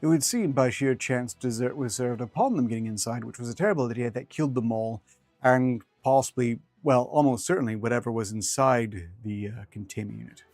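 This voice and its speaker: A man's voice: medium pitch, fairly quick, darkish tone, no echo. Man reading aloud over guitar music. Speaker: streamer reading horror fiction aloud. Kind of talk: reading aloud with casual asides. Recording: music behind the voice.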